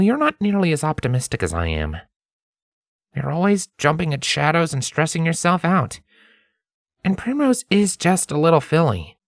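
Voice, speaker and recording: A man's voice: fairly high pitch, vocal fry, dry recording, clean studio sound, pitched above the performer's own voice, full character voice. A man voicing an optimistic, caring male pony scholar with a casual, reassuring and slightly hesitant manner for a storybook reading.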